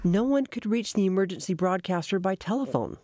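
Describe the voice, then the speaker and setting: A woman's voice: medium pitch, expressive, fairly quick, dark tone, no echo, clean studio sound. A woman delivering scripted narration for an investigative documentary.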